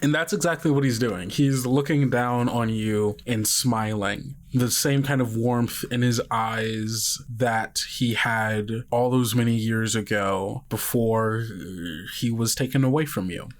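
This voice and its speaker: A man narrating a tabletop game as game master in an improvised, informal, halting way. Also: medium pitch, steady tone.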